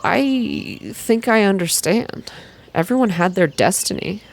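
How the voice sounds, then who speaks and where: A woman voicing a curious, tender young heroine in a story game, soft and questioning. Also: medium pitch, animated, a little slow, vocal fry. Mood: curious, wondering.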